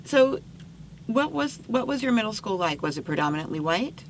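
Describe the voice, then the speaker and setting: A woman's voice: medium pitch, sing-song, moderate pace, slight background noise. A woman hosting a podcast who asks curious, prompting questions and answers with short affirmations. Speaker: podcast host. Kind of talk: casual interview chat.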